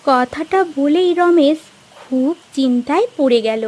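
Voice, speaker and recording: A woman's voice: high-pitched, measured pace, darkish tone. A woman giving scripted narration for a cartoon moral tale.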